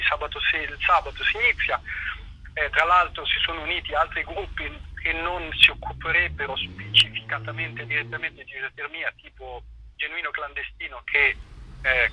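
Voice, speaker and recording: A man's voice: on the high side, sharp tone, a little hiss or hum, poor audio. A man explaining things informally in an interview, earnest, discursive and colloquial. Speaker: activist, interview guest.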